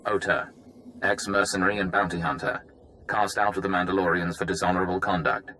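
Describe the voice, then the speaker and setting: Deep, forceful briefing operative. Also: male voice, steady tone, slight background noise, poor audio. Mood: matter-of-fact. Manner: brisk, matter-of-fact. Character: businesslike male infiltration operative giving recorded briefing. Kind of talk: video game briefing narration.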